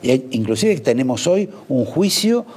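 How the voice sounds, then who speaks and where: Argentine TV host, middle-aged man, dark husky voice, pointed questions, interjects. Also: mid-range voice, very animated, a little slow. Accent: Argentine Spanish.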